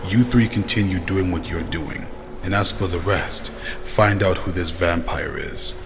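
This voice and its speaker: A man acts out a vampire elder with a very deep voice. He is watchful and calm, handing out orders with measured authority. The fantasy drama recording rings with long reverb. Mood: measured, commanding. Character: calm, watchful male vampire clan elder commanding his followers. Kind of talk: scripted fantasy voice acting. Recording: long reverb, faint background noise.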